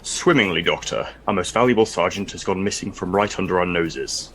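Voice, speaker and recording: An actor playing a stern, dry-witted military commander in an audio drama, speaking with clipped authority. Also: male voice, deep voice, brisk, fairly bright tone, a little hiss or hum. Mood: dry, concerned.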